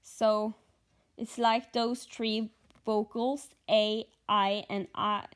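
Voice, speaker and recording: Slow-talking Norwegian woman vlogger. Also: fairly high pitch, little pitch movement, smooth. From Norway. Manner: halting, thinking aloud with fillers. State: just woken up, short on sleep. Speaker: stitching vlogger. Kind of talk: casual vlog chat.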